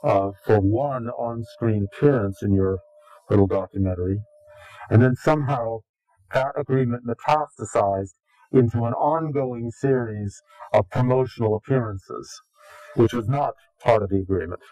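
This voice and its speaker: A man's voice: mid-range voice, normal speed, warm tone, dry recording, rough recording. A man answering interview questions formally in an indignant, sarcastic and wordy way, his voice slightly unnatural from digital processing. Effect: voice changer removed, slightly unnatural. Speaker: interview guest, anonymous whistleblower.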